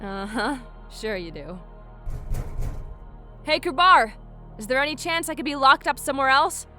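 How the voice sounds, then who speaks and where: A woman's voice: high-pitched, lively intonation, moderate pace, sharp tone, soft background music. A woman playing a sassy, boastful human spacefarer in a space comedy audio drama, brash and scornful. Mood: cocky.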